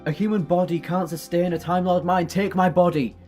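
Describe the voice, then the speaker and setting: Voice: medium pitch, steady tone, fairly quick, darkish tone, soft background music, lightly acted. An actor playing an earnest, compassionate alien hero in a sci-fi audio drama, urgent and apologetic in manner. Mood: urgent, pleading. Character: earnest, compassionate alien time-traveller hero, pleading and self-sacrificing.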